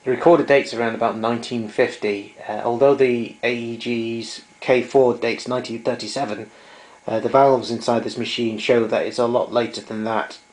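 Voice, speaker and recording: A man giving an informal technical demonstration in a hesitant, halting way, as a hobbyist showing equipment. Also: medium pitch, fairly even intonation, poor audio. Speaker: vintage tape recorder enthusiast demonstrating equipment. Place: indoors, small domestic room.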